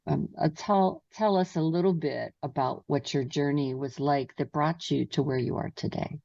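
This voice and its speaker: A woman's voice: low voice, normal speed, vocal fry, warm tone, no room sound. A woman hosting an interview podcast, reading her guest's bio with small stumbles and then asking questions. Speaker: podcast host. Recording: distant, dull, dry mic sound.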